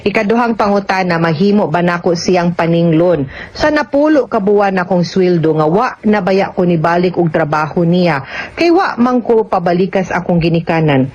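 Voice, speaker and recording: A woman's voice: fairly low pitch, expressive, moderate pace, a little mellow. A woman with a Cebuano Filipino accent giving conversational legal advice as a radio host, explaining things and often checking with short tag questions. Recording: dull lo-fi radio recording.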